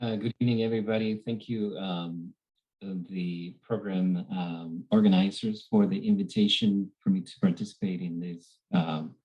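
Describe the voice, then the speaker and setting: A middle-aged man speaks in a very low, husky voice. He talks formally and hesitantly. The call audio is muffled and lo-fi. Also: steady tone, moderate pace. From Venezuela. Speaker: panelist, scholar. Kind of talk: panel self-introduction.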